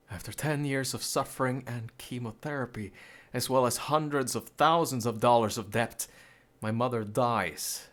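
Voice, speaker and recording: A man's voice: lowish voice, fairly bright tone. A man voicing a troubled, bitter young man in a grim, brooding game monologue. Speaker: game protagonist.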